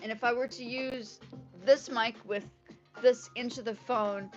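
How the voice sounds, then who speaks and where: A woman from Indonesia, a native Indonesian speaker, hosting a casual livestream in a rambling, animated way, often repeating her phrases. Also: a little high, a little slow, soft background music. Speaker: livestream host. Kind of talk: casual livestream chat with viewers. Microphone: earpiece mic on a phone. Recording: dull lo-fi livestream audio through earpiece mic.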